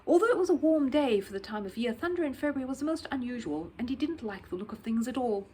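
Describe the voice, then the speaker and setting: A woman narrates a children's audiobook with animated, dramatic expression. Her pitch rises and falls widely, and her voice has a faintly husky texture. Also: quick pace. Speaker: storyteller.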